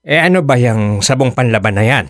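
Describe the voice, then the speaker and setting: A man's voice: medium pitch, expressive, brisk, no room sound, clean studio sound, lightly acted. An actor playing an apologetic, mild-mannered older Filipino father in a radio drama, hesitant and pleading. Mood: grateful, sheepish.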